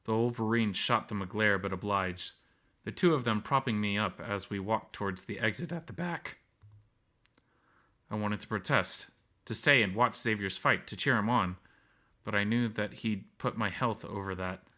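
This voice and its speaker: A man's voice: lowish voice, normal speed, sharp tone. A man reading scripted first-person story narration as the narrator.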